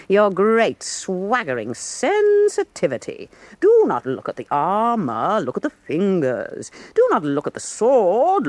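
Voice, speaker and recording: Defiant woman painter, coarse, mocking, very lively intonation, dry close sound, historical radio drama. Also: medium pitch, no room sound. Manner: combative, mocking, emphatic declarations. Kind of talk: full-cast historical radio drama acting.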